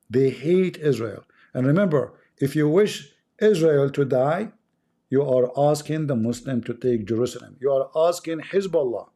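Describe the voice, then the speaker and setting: A man's voice: a little high, warm tone. Emphatic Arabic-accented man preaching. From Middle East. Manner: emphatic, preachy, repeats for effect. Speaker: livestream host. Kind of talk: impassioned religious monologue.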